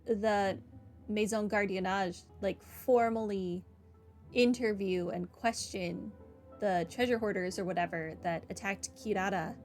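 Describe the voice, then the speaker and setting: A woman hosting a video game playthrough, thinking aloud in casual, conversational commentary. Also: mid-range voice. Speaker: gaming video host.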